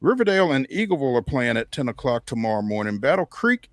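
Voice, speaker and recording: A man's voice: medium pitch, very animated, fast talker, dry recording, clean studio sound. An American man hosting a sports show, reading through a local games schedule in a conversational way with off-the-cuff asides.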